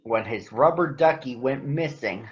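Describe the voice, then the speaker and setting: A man addressing children as a picture book reader, speaking in deliberate phrases, in a read-aloud storytelling style. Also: medium pitch, expressive, highs cut off.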